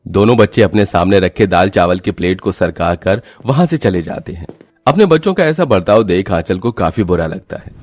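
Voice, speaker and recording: A man's voice: sits low, darkish tone, dry recording. A man giving scripted narration for a cartoon story.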